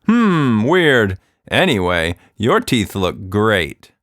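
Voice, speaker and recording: A man's voice: on the low side, big pitch swings, drawn-out words, a bit bright, no echo, clean studio sound, heavily acted. A man voicing an easygoing, mildly puzzled father in a children's story.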